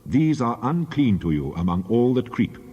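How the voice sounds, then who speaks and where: A man narrating scripture as a Bible reader, solemn, deliberate and declamatory. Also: medium pitch, very animated, dark tone, husky, dull audio. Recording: long reverberant hall echo.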